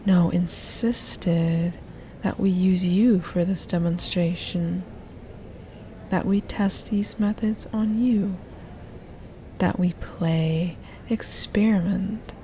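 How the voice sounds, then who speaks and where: A woman playing a mischievous, seductive hypnotist in an ASMR roleplay, coaxing in a breathy, drawn-out half-whisper. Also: fairly low pitch, steady tone, warm tone, a little hiss or hum. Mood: coaxing, smug.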